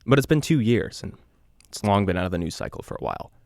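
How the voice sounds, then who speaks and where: A man playing an earnest island radio host, narrating an eerie mystery in a measured, conversational podcast style. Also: lowish voice, big pitch swings, creaky, darkish tone, dry recording, clean studio sound. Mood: earnest, uneasy. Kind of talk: scripted audio drama narration, confessional podcast.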